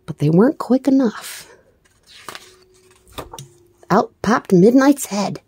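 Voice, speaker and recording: Mellow, expressive woman reading a storybook. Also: fairly high pitch, slow pace, breathy edge. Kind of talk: expressive children's read-aloud narration.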